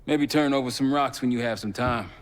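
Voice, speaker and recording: An actor playing a steady, pragmatic intelligence operative in a video game, an American man talking frankly and colloquially. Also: mid-range voice, a little fast, natural voice. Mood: somber. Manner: laid-back, frank, colloquial. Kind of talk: video game dialogue acting. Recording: long reverberant room echo.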